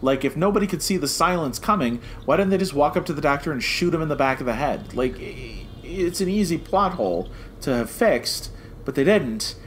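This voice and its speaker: A man's voice: a little high, animated, brisk. A man co-hosting a podcast, chatting casually and animatedly as a fan giving a review, acting out reactions as he goes. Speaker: podcast co-host. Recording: clear background noise.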